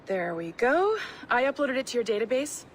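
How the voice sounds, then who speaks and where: An actress playing a polite, upbeat modern professional with a creaky voice in a video game cutscene. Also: female voice, on the high side, sing-song, moderate pace, slight background noise. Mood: polite, pleased.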